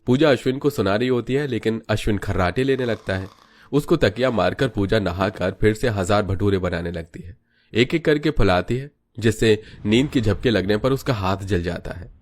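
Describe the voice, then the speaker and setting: A man's voice: on the low side, moderate pace. A man narrating a scripted cartoon story as its storyteller.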